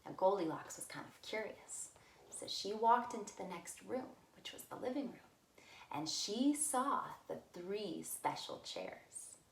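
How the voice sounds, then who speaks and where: A woman telling a story to young children as a librarian, with simple, deliberate phrasing. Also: mid-range voice, animated. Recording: roomy, echoey room sound.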